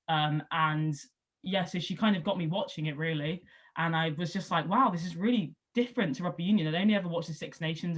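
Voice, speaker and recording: A young woman with a West Country lilt from Somerset talks through her backstory in a relaxed, chatty flow. She fills her sentences with ums and likes, and lifts her voice when she acts out what other people said. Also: deep voice. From Somerset, England. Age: 20s. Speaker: rugby league player. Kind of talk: casual interview answers.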